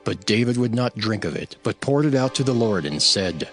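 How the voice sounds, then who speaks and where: A man with a fairly low voice reads scripture as a narrator. His delivery is formal and measured, and music plays clearly behind him. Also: animated. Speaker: Bible narrator. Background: background music.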